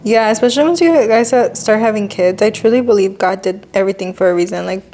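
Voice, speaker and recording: A woman's voice: medium pitch, fast talker, mellow. A young woman from Mexico hosting a podcast, chatting casually and dropping Spanish phrases into her English. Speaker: podcast host and lash artist. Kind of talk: casual chat.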